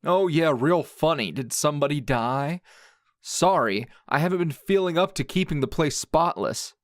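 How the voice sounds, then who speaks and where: A man playing a grouchy, wounded anime hero in a dramatic ASMR roleplay, sardonic and halting in manner. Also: a little high, expressive, no room sound, studio quality, close to an everyday voice. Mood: bitter, sarcastic. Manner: sardonic, halting, emotionally raw.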